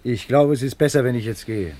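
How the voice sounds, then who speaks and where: An actor playing a polite, hesitant, smitten young police investigator in a 1950s German radio play, stammering a little. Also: male voice, mid-range voice, a little fast, warm tone, lightly acted. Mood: shy, flustered.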